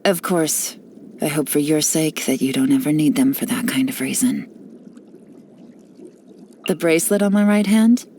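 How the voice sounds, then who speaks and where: A woman voicing a cool, teasing female spy in a studio game, with a knowing, relaxed way of talking. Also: sits low, normal speed, long pauses, a little hiss or hum. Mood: sly. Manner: teasing, relaxed, lightly sly. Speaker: game character voice lines.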